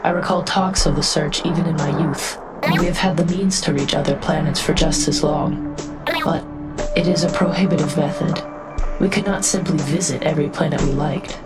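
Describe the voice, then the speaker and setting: Woman voicing a low-pitched, formal alien envoy. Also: fairly even intonation, natural voice. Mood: thoughtful, measured. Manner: formal, precise, measured phrasing. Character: polite, curious female alien envoy, formal and thoughtful. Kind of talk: fan dub of a sci-fi game, character dialogue and inner narration. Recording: music behind the voice.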